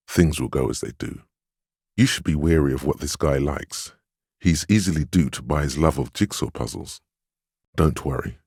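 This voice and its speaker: A very deep, husky, steadfast inner voice. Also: male voice, no room sound, clean studio sound, exaggerated voice. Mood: dry, measured, then steady reassurance. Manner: measured, calmly commanding, dryly reassuring. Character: inner voice of a detective's willpower, steadfast, protective, wry. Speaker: video game voice actor. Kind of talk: scripted game dialogue, second-person inner monologue.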